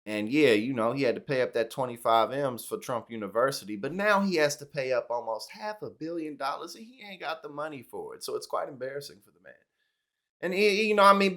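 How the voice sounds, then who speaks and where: A man's voice: mid-range voice, fairly bright tone. A young man hosting casual political commentary, talking in a loose, slangy, mocking way with lots of "listen" and "you know".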